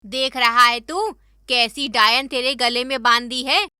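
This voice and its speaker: A woman voicing a sharp-tongued, indignant middle-aged mother-in-law in an animated moral story. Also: fairly high pitch, measured pace, clear, resonant tone, no echo, studio quality. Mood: furious. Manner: indignant, berating.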